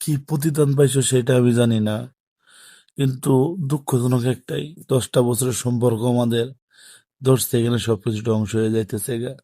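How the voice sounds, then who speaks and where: Regretful young Bangladeshi boyfriend played by a man, subdued and pleading, creaky husky voice, phone-call drama. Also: mid-range voice, little pitch movement, normal speed, warm tone, no room sound, close to an everyday voice. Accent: Bangladeshi Bengali.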